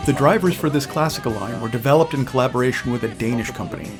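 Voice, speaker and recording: A man reading scripted promotional narration as a company representative presenting loudspeakers. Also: medium pitch, fast talker. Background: background music with guitar.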